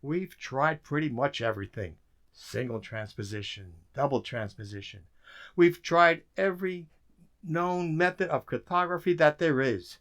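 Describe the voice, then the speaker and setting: An actor playing a weary, dry-witted government codebreaker in an emphatic radio drama style. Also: male voice, fairly high pitch, a little slow, a bit bright. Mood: weary, frustrated.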